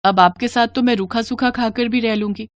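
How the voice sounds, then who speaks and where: A woman's voice: on the high side, steady tone, brisk, dry recording, lightly acted. A woman voicing a timid, humble young bride in a moral story, earnest and fretful in manner. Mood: humble, grateful.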